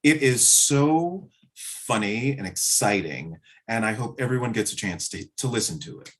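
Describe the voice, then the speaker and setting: A man answering as an interview guest, speaking conversationally in reflective, measured phrases. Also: lowish voice, expressive, a bit bright. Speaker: interview guest, play director.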